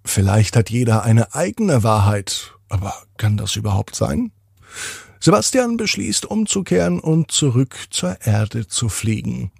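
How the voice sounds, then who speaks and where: Slow, expressive man telling bedtime stories. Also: medium pitch, gravelly, breathy edge, no echo, clean studio sound. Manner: deliberate, expressive, with drawn-out pauses. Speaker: storyteller and host of a children's bedtime podcast. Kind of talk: bedtime story narration for children. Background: music.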